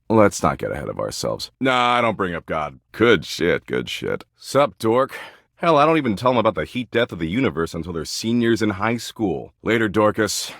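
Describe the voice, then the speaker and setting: A man's voice: low-pitched, fairly bright tone, no echo, clean studio sound, heavily acted. A man voicing a smug, slangy embodiment of existential dread in a video game, with a sardonic, dismissive slacker-cool delivery. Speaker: voice actor in a dating simulator game. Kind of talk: video game character dialogue.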